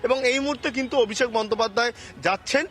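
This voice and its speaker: Very high-voiced male reporter, West Bengal accent. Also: racing, fairly bright tone. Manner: hesitant, repetitive, running account. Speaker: field news reporter. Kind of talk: unscripted live commentary.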